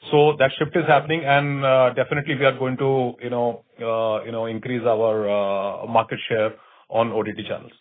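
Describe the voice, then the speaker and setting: A man with an Indian accent, a company executive answering on an earnings call in a halting way, often saying "you know". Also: medium pitch, flat delivery. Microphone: conference call line. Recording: tinny narrow phone line.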